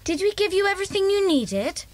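A woman's voice: very high-pitched, lively intonation, fairly quick, dry recording. An actress playing a polite, thoughtful schoolgirl in a children's audio drama. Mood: earnest.

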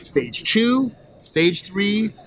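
A man's voice: high-pitched, very slow. A man, the program chair, playfully quizzing doctors in a conference hallway. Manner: playful, energetic. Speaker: program chair, quiz host. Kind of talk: casual quiz banter. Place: conference center hallway, indoors. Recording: noisy hallway background.

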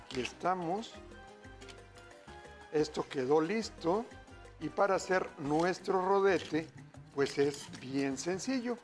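A man's voice: a little high, lively intonation, takes it slowly, long pauses, soft background music. A middle-aged man hosting a TV cooking show, chatting with viewers as he cooks in a studio kitchen. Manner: upbeat, chatty, keeps calling viewers "familia". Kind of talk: cooking demonstration, addressing viewers.

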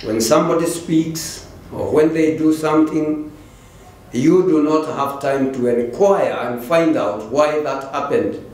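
Slow-preaching Kenyan pastor in an echoey church. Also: male voice, on the high side, darkish tone. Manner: slow, deliberate preaching with repeated phrases. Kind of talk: sermon. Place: large church, indoors. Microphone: stand mic at the pulpit.

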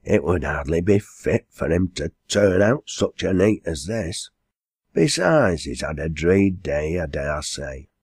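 A man's voice: deep voice, sing-song, unhurried, a little mellow, husky, dry recording, studio quality, full character voice. A man voicing a bossy, practical country inn landlady in a storybook, giving orders in broad Lancashire dialect. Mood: concerned.

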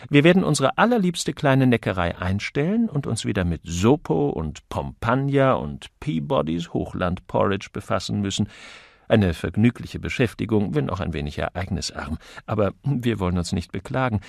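A man voicing a witty, teasing gentleman sleuth in an audiobook, with an airy, flowery, mock-lecturing manner. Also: lowish voice, sing-song, moderate pace, gravelly, no echo, clean studio sound, heavily acted. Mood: playful, curious.

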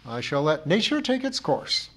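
A man's voice: high voice, expressive, moderate pace, voice pushed up. A man voicing a belligerent, self-pitying village cleaning woman with a rural English accent, declaiming her grievances in a storybook reading. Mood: crushing dignity. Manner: declamatory, rhythmic, dropped g's, indignant.

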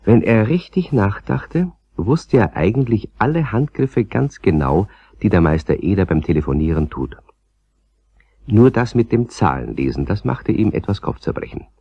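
A man narrating a children's radio play as a calm, gently amused storyteller with a husky voice. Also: fairly low pitch, normal speed, dry recording, lo-fi sound, heavily acted. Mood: storytelling.

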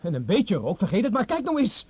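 A man's voice: high-pitched, huge pitch swings, brisk, darkish tone, breathy edge, no room sound, exaggerated voice. An actor playing a nervous, sceptical driver on Mars in a Dutch radio drama, blunt in his manner. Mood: alarmed. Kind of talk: Dutch radio play acting. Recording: dull, noisy old radio drama recording.

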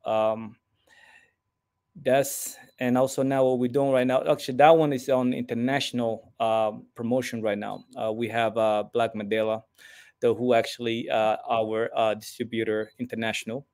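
A man from Somalia, guest on a video-call interview, talking haltingly with many fillers. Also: medium pitch, darkish tone. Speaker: guest filmmaker. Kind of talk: casual interview. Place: home room.

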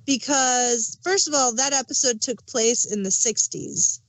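A woman's voice: fairly high pitch, unhurried, poor audio. A woman chatting casually as a panelist on a review podcast. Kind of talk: casual chat.

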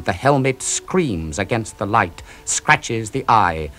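A man narrating an epic poem in a scripted reading, with a measured and dramatic delivery. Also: on the low side.

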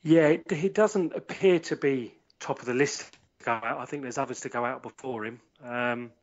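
Measured man on lo-fi audio. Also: medium pitch. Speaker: podcast panellist. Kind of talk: casual sports discussion.

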